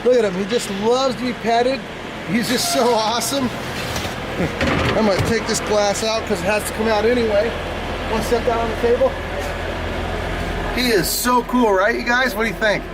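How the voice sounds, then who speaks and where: A middle-aged man with a fairly high voice shows off reptiles to his viewers. He is chatty and enthusiastic and keeps asking what they think. Loud noise roars behind him. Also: steady tone, normal speed, long pauses. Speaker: reptile vlogger showing his animals. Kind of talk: casual talk to camera. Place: reptile expo hall with loud machinery nearby.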